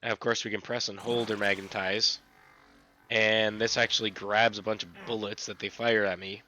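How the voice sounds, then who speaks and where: A man's voice: on the low side, moderate pace, faint music behind. Scratchy-voiced man explaining gameplay. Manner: relaxed, repetitive explaining. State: recovering from illness. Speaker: gaming channel host. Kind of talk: casual gameplay tutorial.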